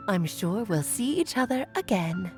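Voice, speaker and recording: A woman's voice: medium pitch, big pitch swings, normal speed, soft background music. Lilting, gracious, theatrical mother. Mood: breezy farewell. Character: breezy, gracious, theatrical mother in fantasy game. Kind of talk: animated game voice acting.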